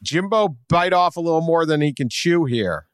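A man's voice: a little high, lively intonation, moderate pace, smooth, no echo, studio quality. Joking Boston man hosting a sports podcast. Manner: joking, sardonic. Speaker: podcast host. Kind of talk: casual sports chat.

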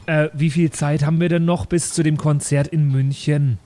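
A man's voice: fairly high pitch, fairly even intonation, moderate pace, warm tone. A man playing a tabletop role-playing game, casually improvising his character's actions and talk.

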